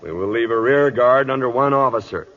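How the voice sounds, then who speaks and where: A man plays an older cavalry captain in a golden-age radio drama. He is gruff, proud and duty-bound, with a middling pitch and blunt military address, on a muffled vintage broadcast recording. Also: fairly quick, fairly bright tone, natural voice. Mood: commanding. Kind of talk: 1940s-50s radio drama acting.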